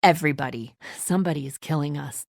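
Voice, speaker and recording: A woman's voice: low-pitched, quick pace, vocal fry, darkish tone, no room sound, clean studio sound. An actress playing a tense, suspicious woman survivor of a zombie apocalypse in a video game, blunt and accusatory in manner. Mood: urgent, accusing. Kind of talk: dramatic video game acting.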